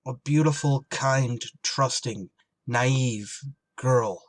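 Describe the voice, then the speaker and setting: An actor playing a gruff, tormented emergency ship pilot in a radio drama, terse and weary under strain. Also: male voice, medium pitch, drawn-out words, no room sound. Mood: brooding, anguished. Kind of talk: radio drama acting with narrated inner monologue.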